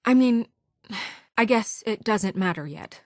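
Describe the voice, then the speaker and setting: A woman voicing a brisk, authoritative, no-nonsense woman administrator under pressure in a video game, crisp, earnest and firm. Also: medium pitch, animated, dry recording, studio quality. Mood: wry, focused. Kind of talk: naturalistic video game voice acting.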